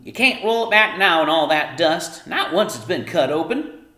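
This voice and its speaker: Man putting on a shrill, nagging little storybook mother. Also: lively intonation, normal speed, sharp tone, reverberant. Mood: exasperated. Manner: exasperated, nagging, rustic nonstandard grammar. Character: scolding, fussy, hot-tempered tiny mother in storybook.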